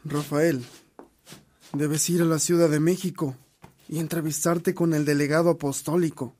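An actor playing a priest's devoted, affectionate old friend in a radio drama, warm and urging. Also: male voice, a little high, little pitch movement, normal speed, close to an everyday voice.

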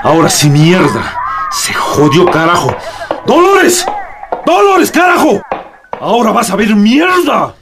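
A man's voice: high-pitched, wildly swooping pitch, slow, very noisy, full character voice. A man acting a boastful, hot-tempered macho husband in a radio drama, with a Peruvian accent and a coarse, swaggering manner. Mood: furious.

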